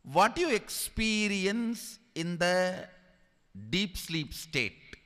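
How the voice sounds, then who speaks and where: Male spiritual teacher, Indian accent, bright forceful voice, emphatic instructing delivery, long hall reverb. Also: sits high, lively intonation. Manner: emphatic, deliberate, repeats key phrases, instructing. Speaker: spiritual teacher giving a satsang discourse. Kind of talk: sermon-like spiritual discourse to an audience.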